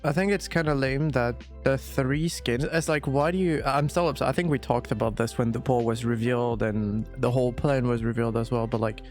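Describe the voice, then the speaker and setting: Low-pitched man ranting casually, sweary. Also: brisk, few pauses, darkish tone, faint music behind. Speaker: podcast panelist. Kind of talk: casual podcast chat.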